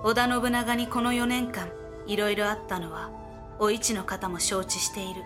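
A woman narrating a historical voice drama from a script in a measured, formal storytelling manner. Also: medium pitch, steady tone. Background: dramatic music.

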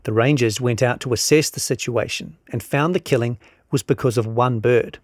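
A man's voice: mid-range voice, darkish tone, no room sound, clean studio sound. A man narrates a scripted long-form article, reading out both the reporting and the quotations.

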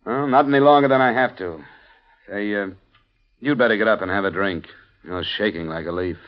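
A man's voice: lowish voice, brisk, muffled recording, natural voice. An actor playing a tough, wry male insurance investigator in a 1950s radio drama, with a blunt and clipped delivery, on an old broadcast recording. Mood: practical. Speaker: lead and narrator of the series.

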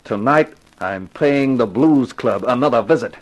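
An actor playing a wisecracking, slangy private detective in a 1950s radio crime drama. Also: male voice, medium pitch, lively intonation, unhurried, soft background music, rough recording. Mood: brisk, businesslike. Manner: slangy, wry, tough-guy talk. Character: wisecracking hard-boiled private detective, narrating his own case.

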